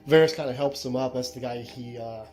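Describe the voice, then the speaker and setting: A man's voice: medium pitch, fairly even intonation, a little fast, darkish tone, soft background music. A man chatting casually as a player in a tabletop role-playing game.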